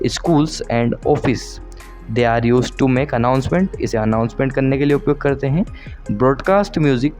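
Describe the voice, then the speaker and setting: A man's voice: mid-range voice, a little mellow. A young man with an Indian accent presenting a scripted educational explainer, reading out points and then explaining them by asking and answering his own questions. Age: young adult. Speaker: student presenter explaining a lesson.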